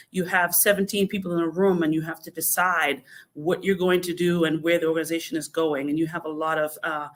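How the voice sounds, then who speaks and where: Measured woman from the Bahamas. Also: on the low side, fairly bright tone. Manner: measured, explanatory, frequent "um" and "uh". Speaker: guest. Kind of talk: conversational podcast interview.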